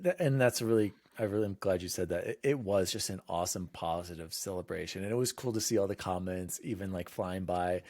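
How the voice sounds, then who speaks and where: Enthusiastic low-voiced man hosting a podcast. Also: a little mellow, no room sound, studio quality. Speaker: podcast host. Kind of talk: casual podcast chat about gaming.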